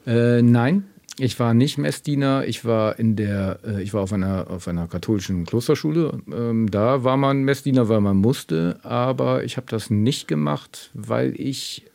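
A man's voice: mid-range voice, moderate pace, vocal fry, a little mellow. A man from Germany's Münsterland, chatting and reminiscing as an interview guest in a casual, self-deprecating way. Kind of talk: casual storytelling.

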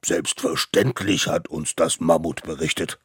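A man voicing three stern old mammoth judges who speak slowly and pompously. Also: extremely low pitch, fairly even intonation, breathy edge, dry recording, studio quality, full character voice.

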